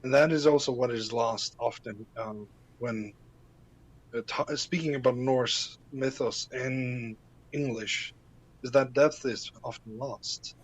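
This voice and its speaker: A man's voice: medium pitch, fairly even intonation, takes it slowly, slightly rough, rough recording. An Icelandic man, a native Icelandic speaker, talking as a podcast guest in a casual interview, hesitant, with many fillers and restarts.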